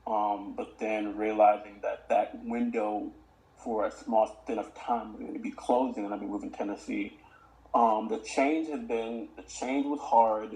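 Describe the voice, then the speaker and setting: A man in his twenties, born in Uganda and raised in the Washington DC area, speaking as a podcast guest in a measured, reflective way with frequent "um"s. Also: on the low side, a little mellow, rough recording. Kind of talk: casual interview talk.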